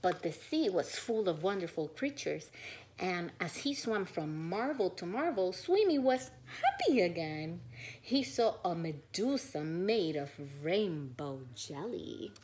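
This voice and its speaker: A woman teacher reading a children's storybook aloud, expressive and questioning, pausing to think out loud. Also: on the low side.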